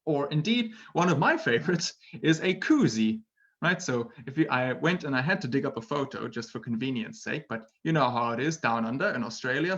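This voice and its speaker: A man's voice: mid-range voice, fairly bright tone. Chatty male teacher on a lo-fi webinar. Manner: chatty, tags sentences with "right?"; laughs at himself, playful. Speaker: English teacher. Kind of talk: webinar language lesson. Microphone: computer mic for an online webinar.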